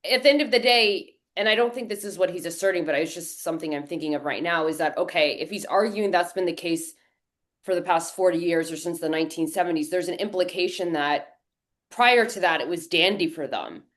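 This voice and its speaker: A woman co-hosting a podcast, thinking aloud in an animated, conversational way. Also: lowish voice, a little fast. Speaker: podcast co-host. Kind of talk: casual discussion.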